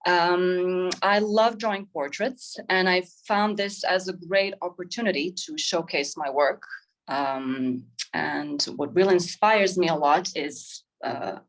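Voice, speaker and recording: Casting: woman, 30s, lower-pitched voice, reflective interview guest talking informally. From Croatia. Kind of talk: informal interview, describing own work.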